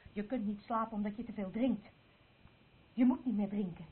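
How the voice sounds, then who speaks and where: A woman's voice: mid-range voice, normal speed, breathy edge. A woman playing a weary, scolding daughter in a radio play, blunt and exasperated. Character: Selma, weary, scolding daughter looking after a drunkard. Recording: tinny, noisy old radio recording.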